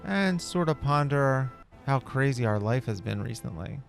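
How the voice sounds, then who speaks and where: An easygoing man narrates his game roleplay in a casual, rambling way. His voice has a creaky, fry-laced edge and sits at a medium pitch, with game music playing behind him. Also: lively intonation, moderate pace. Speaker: gaming video creator. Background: video game music and character dialogue.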